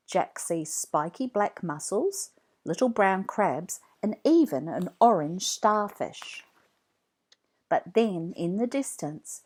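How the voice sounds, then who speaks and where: Female children's storybook narrator: unhurried, fairly low voice, expressive read-along delivery.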